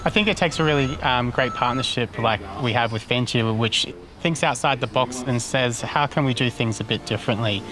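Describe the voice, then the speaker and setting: A man's voice: medium pitch, fairly quick. A man, a social enterprise leader explaining its mission fluently. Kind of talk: interview explanation.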